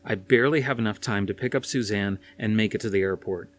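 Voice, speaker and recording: A male reader plays an exasperated boyfriend in an audiobook story. His voice sits fairly low. He talks with cool control and a dismissive edge. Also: quick pace, natural voice. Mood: cool, impatient. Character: cool, exasperated career man arguing with his girlfriend.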